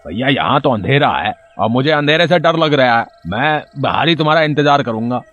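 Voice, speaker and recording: A man's voice: mid-range voice, lively intonation, fairly quick, a bit bright, no room sound, dull audio, lightly acted. A man voicing an agitated, timid but loyal villager with a colloquial manner in a cartoon story. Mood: fearful. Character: excitable, timid male villager, loyal to his friends.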